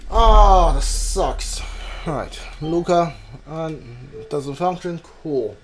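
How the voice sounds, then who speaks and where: A man giving casual live playthrough commentary as a gaming streamer, haltingly repeating words as he thinks. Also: fairly high pitch, expressive, a crowd in the background. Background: faint game music.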